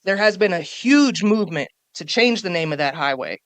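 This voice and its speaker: A woman speaking on a panel before a live audience in a small café, forceful and emphatic, stacking up points and repeating them for weight. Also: fairly low pitch, expressive, moderate pace, dry recording. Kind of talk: panel talk.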